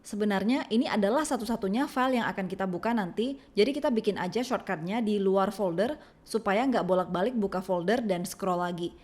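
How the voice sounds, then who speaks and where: A woman with an Indonesian accent reading a scripted software tutorial, giving clear step-by-step instructions. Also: mid-range voice. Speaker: tutorial narrator.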